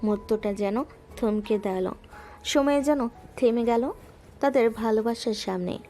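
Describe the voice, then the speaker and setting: A woman narrating a romantic audio story in a gentle, measured way, with soft music underneath. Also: fairly high pitch.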